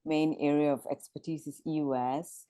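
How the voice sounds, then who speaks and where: A woman's voice: sits low, fairly even intonation, normal speed, warm tone, no room sound. A middle-aged woman from Malaysia, a panelist introducing herself in an informal professional way.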